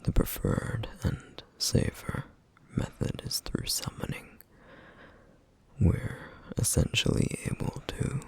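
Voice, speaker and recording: A man playing a somber, duty-bound, protective flame demon in an ASMR roleplay, speaking in a hushed, halting, formal way with a rough, breathy voice. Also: lightly acted. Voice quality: breathy, husky, rough whisper. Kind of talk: ASMR roleplay, intimate scripted monologue.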